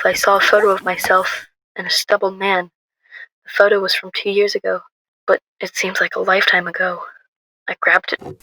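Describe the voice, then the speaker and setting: A woman's voice: on the low side, sharp tone, slight background noise, rough recording. A woman playing a frightened but determined young woman on the run in a first-person radio drama.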